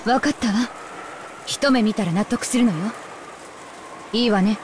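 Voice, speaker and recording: A woman's voice: a little high, quick pace, background noise, lightly acted. A woman voicing a weary, strict young mother in a visual novel game, scolding and pleading. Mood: resigned, firm.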